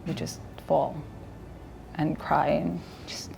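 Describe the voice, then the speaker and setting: A woman's voice: low-pitched, steady tone, takes it slowly, vocal fry, noisy background. A distressed, tearful woman giving an emotional personal account as an interview guest.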